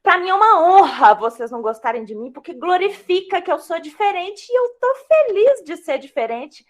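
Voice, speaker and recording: A woman's voice: very high-pitched, big pitch swings, normal speed. A woman playing a rebellious, bratty teenage goddess with slangy, eye-rolling affectation in a mythological comedy audio drama. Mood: bored contempt.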